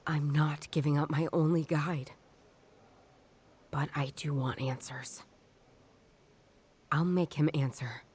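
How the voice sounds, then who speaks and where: A stubborn woman detective in a noir video game, with a slightly low voice. She muses in a wry, doubtful way, as if thinking through a case alone. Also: fairly even intonation, takes it slowly, pauses often, darkish tone, slightly rough, heavily acted. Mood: defiant. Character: stubborn, skeptical woman detective, puzzling things out. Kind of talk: noir detective game voice acting, inner monologue.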